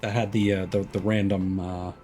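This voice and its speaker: A man's voice: low voice, level tone, normal speed, warm tone. A man streaming a video game with casual, musing and mildly critical live commentary. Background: video game music.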